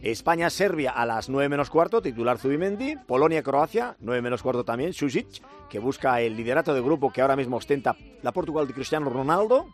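A man hosting a radio sports news bulletin, brisk and lively, with offhand asides. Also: medium pitch, faint music behind. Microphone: studio microphone.

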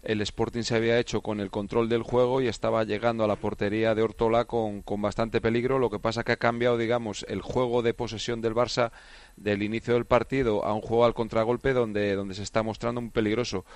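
A man giving measured radio analysis of a football match, explaining how the game has changed. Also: lowish voice, little pitch movement, dry recording.

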